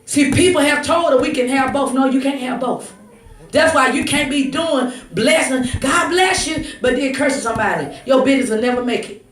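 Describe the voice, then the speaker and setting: A woman's voice: high-pitched, normal speed. A woman preacher giving a fervent sermon, exhorting emphatically, swinging between conversational asides, scripture reading and raised-voice declarations. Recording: echoey room, distant mic, faint noise.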